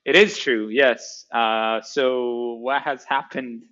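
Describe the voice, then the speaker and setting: A man's voice: mid-range voice, steady tone, slow, fairly bright tone, lo-fi sound. A man from Greece, a startup co-founder, answering interview questions in a hesitant way with many fillers and restarts. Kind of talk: casual interview.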